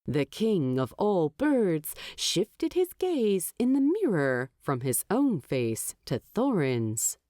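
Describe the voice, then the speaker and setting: A woman narrator reads fantasy fiction at a slow, measured pace. Her voice sits a little low and moves widely in pitch, with a wry storyteller's manner. The recording is dry. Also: huge pitch swings, mellow, dry recording. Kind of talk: expressive audiobook narration of fan fiction.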